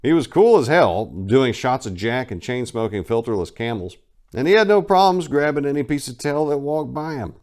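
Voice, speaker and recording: A man's voice: medium pitch, animated, normal speed, darkish tone. A man narrating his own memoir in a casual first-person reading, wry and blunt, with crude humor.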